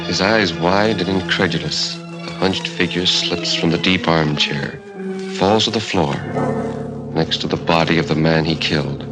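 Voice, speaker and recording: A man's voice: deep voice, unhurried, poor audio. A man narrating as the announcer of a 1940s radio horror show, dramatic and ominous. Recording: vintage radio recording, loud music behind.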